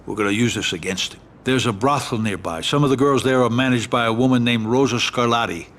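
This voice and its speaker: A man's voice: mid-range voice, fairly quick, fairly bright tone, a little hiss or hum, natural voice. Man acting composed American mob lawyer. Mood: calculating. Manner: advising, composed. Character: calm, calculating middle-aged male mafia lawyer. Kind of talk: video game cutscene acting.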